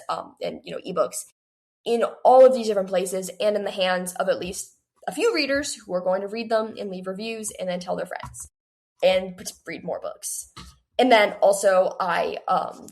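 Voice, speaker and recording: A woman's voice: mid-range voice, vocal fry. A woman in her late teens, a guest talking conversationally and earnestly as she explains things in an interview. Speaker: guest, young adult author.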